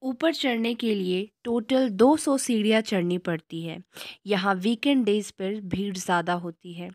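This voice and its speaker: A woman with an Indian accent narrates an informative travel guide in a measured, scripted way. Her pitch is average for a woman and English terms slip in often. The sound is dry, with no room around it. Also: darkish tone, no echo.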